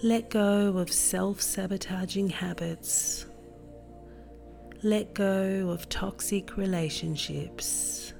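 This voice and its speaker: A woman narrating a guided meditation in a gentle, soothing manner with deliberate phrasing. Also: mid-range voice, gravelly, soft background music. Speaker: meditation guide and podcast host.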